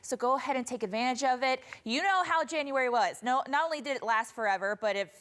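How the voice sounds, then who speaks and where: A woman's voice: high-pitched, fast, fairly bright tone. An American woman working as a TV meteorologist, giving an ad-libbed forecast in a chatty, upbeat presenter style. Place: TV studio.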